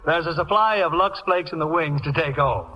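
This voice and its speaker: High-voiced male host, muffled old radio. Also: fairly quick. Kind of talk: scripted radio host banter. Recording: muffled old radio broadcast, faint hiss.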